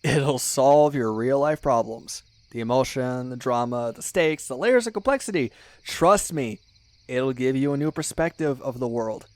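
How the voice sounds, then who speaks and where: A man playing an excitable, sentimental best friend in a casual ASMR roleplay, speaking effusively with mock-serious exaggeration. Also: mid-range voice, moderate pace, no echo, natural voice. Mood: enthusiastic. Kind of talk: ASMR roleplay acting, casual one-sided chat.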